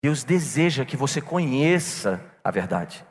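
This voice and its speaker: A man's voice: mid-range voice, big pitch swings, measured pace. A man preaching a sermon to a church congregation, telling a personal story and calling them brothers. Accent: non-local Brazilian. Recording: long reverb in a church hall.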